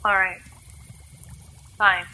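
A woman's voice: medium pitch, flat delivery, very slow, sharp tone, full character voice. A woman playing an ancient, sickly vampire-elf hybrid in an audio drama, guarded, wry and resigned in her delivery. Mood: resigned, sad.

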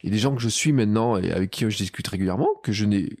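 A man hosting a casual podcast conversation, chatty and animated in his storytelling. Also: lowish voice, very fast, dry recording, clean studio sound. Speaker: podcast host.

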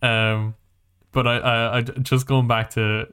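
A man's voice: mid-range voice, level tone, measured pace, a bit bright, clear, resonant tone. A man co-hosting a podcast, chatting casually about movies and games in a rambling, emphatic, sweary way. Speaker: podcast co-host. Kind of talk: casual movie and game chat.

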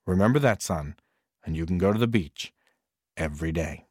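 A man's voice: low voice, animated, moderate pace, a little mellow, no room sound, clean studio sound, voice pushed down. A man voicing a stern, busy father who speaks firmly to his son. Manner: firm, dismissive.

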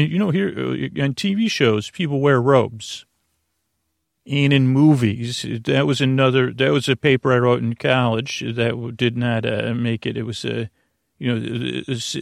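A man rambling through a sleep podcast, drifting from one tangent to the next with plenty of fillers and restarts. His voice has a gravelly, fried edge. The sound is dry and close, with no room around it. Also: medium pitch, no room sound. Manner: meandering, full of fillers and restarts, self-deprecating. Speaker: podcast host.

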